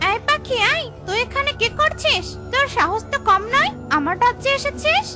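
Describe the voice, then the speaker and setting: A woman's voice: very high-pitched, expressive, normal speed, pitched above the performer's own voice. A woman voicing a grandiose, threatening evil witch queen in a cartoon who boasts of her power. Light music plays behind her. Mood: menacing.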